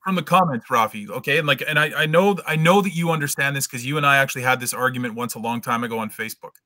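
Bright, insistent middle-aged man. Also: mid-range voice, fast, no echo, studio quality. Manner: insistent, lecturing, repeats words when pressing a point. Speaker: debate guest. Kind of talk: argumentative online debate. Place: home room. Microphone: webcam video call.

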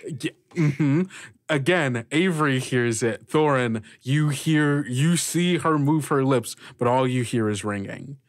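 A man of medium pitch runs a tabletop game as its master. His improvised narration comes in halting phrases, recorded dry with little room sound. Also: no echo.

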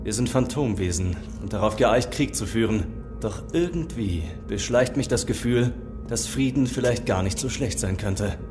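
A man's voice: fairly low pitch, expressive, normal speed, music in the background, close to an everyday voice. A man playing a commanding, brooding phantom warlord weary of war in an audio drama. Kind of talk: German audio drama acting.

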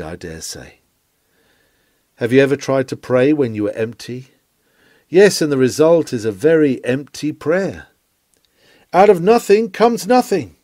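A man delivers a written sermon as an earnest preacher would, with rhetorical, emphatic phrasing. His mellow voice rises and falls in a very lively way. The sound is close and dry. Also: medium pitch, no room sound. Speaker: reader of historical sermons. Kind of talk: scripted sermon reading.

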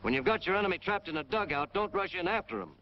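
A man's voice: high-pitched, lively intonation, fast talker. An American man narrating a 1940s military training film in a hard-boiled, punchy, emphatic way. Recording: dull, noisy 1940s film soundtrack.

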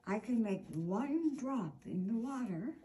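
An older woman in her sixties hosting a children's storytime, reading aloud deliberately and expressively, drawing out words for emphasis. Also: on the high side, expressive, warm tone. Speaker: storytime host reading a picture book aloud. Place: indoor room with armchair.

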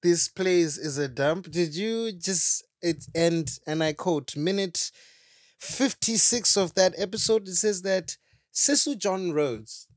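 A man's voice: high voice, dry recording. A young man, co-hosting a podcast, jokey and teasing in casual chat on a studio mic. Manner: jokey, quick to laugh, teasing. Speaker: podcast co-host. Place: small home studio. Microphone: studio mic on boom arm.

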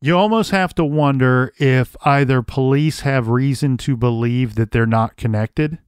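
A man's voice: mid-range voice, measured pace, clean tone, no room sound. Man reading and speculating in a dry room. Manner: reads case records aloud, then speculates. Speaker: podcast host. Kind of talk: casual true crime discussion.

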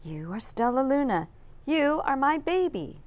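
A woman voicing a tender, urgent mother fruit bat in a storybook, gently emphatic and earnest. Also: high-pitched, very animated, a little slow, voice pushed up. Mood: urgent wonder.

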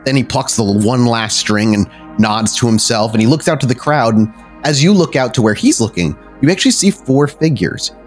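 A man's voice: mid-range voice, normal speed, faint music behind. A man narrating a tabletop game as game master, descriptive and conversational, improvising as he goes.

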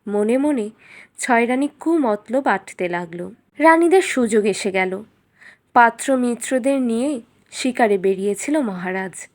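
Woman, storyteller, fairly high pitch, scripted children's fairy tale narration.

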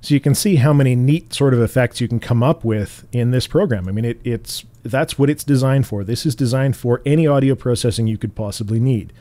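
A man with a naturally bassy, mellow voice talks through a software tutorial at an easy pace. He explains in a relaxed way, now and then restarting a phrase. The sound is dry and close, recorded at home. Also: fairly quick, hardly any pauses, dry recording. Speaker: tutorial host.

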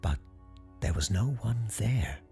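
Deep, gravelly, slow ghost-story narrator. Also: animated, soft background music. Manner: hushed, deliberate, suspenseful. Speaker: storyteller, host.

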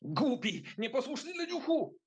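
A man voicing a furious, menacing sorcerer uncle in a storybook audio drama, shouting threats and hissing spells. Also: extremely high pitch, big pitch swings, a little slow, husky, voice pushed up, exaggerated voice. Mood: enraged.

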